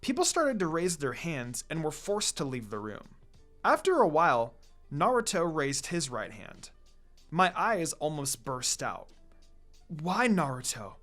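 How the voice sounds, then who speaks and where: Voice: mid-range voice, sing-song, unhurried, a bit bright. A channel host reads fan fiction expressively, with a mid-range voice that moves up and down a lot. The style is casual storytelling, with music running underneath. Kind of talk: expressive first-person story narration, casual asides. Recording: background music.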